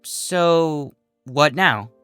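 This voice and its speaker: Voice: medium pitch, extremely slow, fairly bright tone, clear, resonant tone, no echo, soft background music. A narrator as an earnest, practical unicorn mare.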